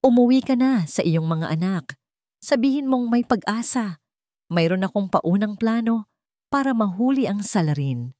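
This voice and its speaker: A woman voicing a solemn, resolute and protective king in storybook dialogue, speaking gravely and with firm emphasis. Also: mid-range voice, lively intonation, normal speed, warm tone, no echo, clean studio sound, voice pushed up, close to an everyday voice. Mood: serious, determined.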